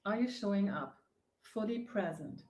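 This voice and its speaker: A woman from Germany with a German accent, hosting her own inspirational live stream from a sofa, speaking earnestly and often pausing to search for words. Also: mid-range voice, expressive, darkish tone. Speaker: host, face yoga founder. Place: sitting on a sofa. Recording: echoey room.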